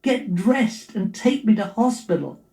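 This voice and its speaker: A man acts out an anxious pregnant wife from a memoir. The voice is raised high and pleads with urgency, in a roomy recording. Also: big pitch swings, measured pace, gravelly, darkish tone, exaggerated voice. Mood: pleading.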